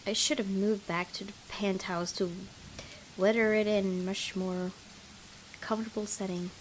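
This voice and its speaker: A woman hosting a gaming video, reading visual novel narration aloud in an expressive storytelling way. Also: mid-range voice, unhurried, rough recording. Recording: clear background noise.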